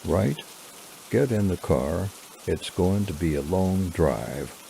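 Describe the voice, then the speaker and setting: A man playing a gruff, sardonic detective with a gravelly voice and a bitter edge, in a horror drama. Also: sits low, expressive, takes it slowly, dark tone, full character voice. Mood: sardonic, grumpy. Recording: clear rain noise behind the voice.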